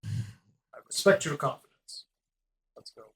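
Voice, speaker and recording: A man's voice: slow pace, fairly bright tone. A man playing a stern, protective adventurer who gives clipped, authoritative orders in a tabletop game. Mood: firm. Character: stern, commanding male adventurer, protective and suspicious.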